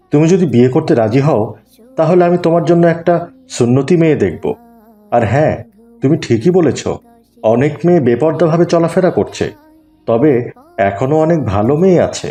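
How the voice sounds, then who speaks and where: A man's voice: a little high, lively intonation, a little fast, dark tone, lightly acted. A man playing a kindly, pious older neighbour who gives patient, earnest advice in an Islamic cartoon. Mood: earnest admonishing.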